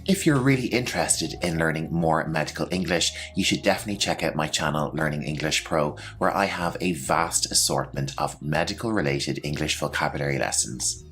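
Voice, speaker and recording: A man presenting a scripted English vocabulary lesson, explaining terms in a clear, instructional manner. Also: a bass voice, a little fast, few pauses, a bit bright, faint music behind. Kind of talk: scripted educational narration with example sentences.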